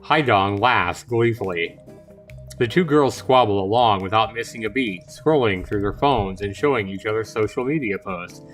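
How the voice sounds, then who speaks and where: A man's voice: lowish voice, moderate pace. Casual male livestreamer, chatty and informal. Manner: informal, chatty asides between read lines. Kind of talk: casual livestream read-through of a visual novel. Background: music.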